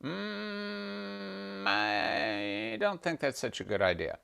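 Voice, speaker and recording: A man voicing a calm, conciliatory god and husband in a storytelling session, soft-spoken, hesitant and placating. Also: high-pitched, very slow, creaky, clear, resonant tone, pitched above the performer's own voice, full character voice. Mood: gently reasoning.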